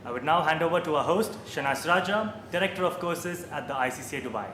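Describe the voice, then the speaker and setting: A man's voice: fairly high pitch, expressive, fast, bright, forceful tone. A man formally announcing and welcoming viewers to a live webinar. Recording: echoey room from far off with faint noise.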